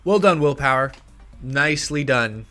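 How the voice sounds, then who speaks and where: A young man in his twenties hosting a casual livestream, thinking aloud and correcting himself, a bit hoarse, on a close studio mic in a small room. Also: mid-range voice, expressive, normal speed, sharp tone, soft background music. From United States. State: slightly hoarse, tickle in throat. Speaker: livestream host. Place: small home streaming room. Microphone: headset and studio mic on arm.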